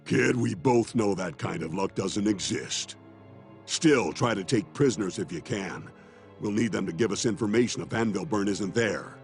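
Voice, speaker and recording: An actor playing a gruff veteran beast-warrior commander in a video game, gravelly and blunt as he gives orders. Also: fairly low pitch, normal speed, darkish tone, soft background music. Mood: gruff, wry. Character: gruff veteran charr war master, brusque and commanding.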